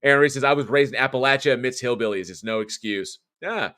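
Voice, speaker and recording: A man's voice: mid-range voice, fast, sharp tone. A middle-aged American man with a non-regional accent hosts a horror show and chats casually. He rambles, reads viewer comments aloud and often restarts or corrects himself. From United States, born in California. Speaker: horror show host and voice actor. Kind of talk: casual live-stream chat, reading viewer comments.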